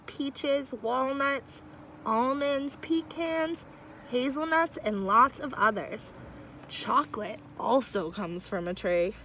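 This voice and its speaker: A woman's voice: high voice, a little hiss or hum. A woman teacher reading a picture book aloud to children, with chatty asides. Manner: slow, warm storytime delivery with lively asides. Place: outdoors near trees.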